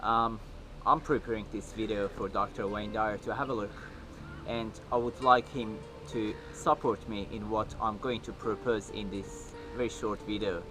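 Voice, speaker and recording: A man in his thirties with a Persian accent, speaking an earnest personal video message with many pauses and fillers. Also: on the low side, fairly even intonation, low-quality recording. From Iran. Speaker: video maker. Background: music.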